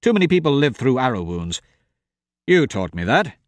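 A man voicing a cold, sardonic young male thief who talks in a dry, clipped, deadpan way. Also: mid-range voice, moderate pace, no echo, natural voice.

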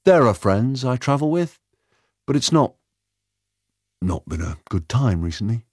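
A man playing an excitable, kindly alien time traveller who rambles enthusiastically in an audiobook story. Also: medium pitch, a little slow, husky, no echo, studio quality, voice pushed up. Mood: excited, rambling.

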